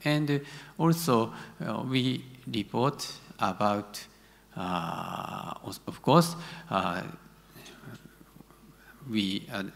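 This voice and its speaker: A middle-aged man with a Japanese accent, a native Japanese speaker answering conference questions haltingly, searching for words. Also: on the low side, creaky. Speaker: conference presenter, university academic. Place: large conference hall stage. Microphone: gooseneck podium microphone. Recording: reverberant large auditorium.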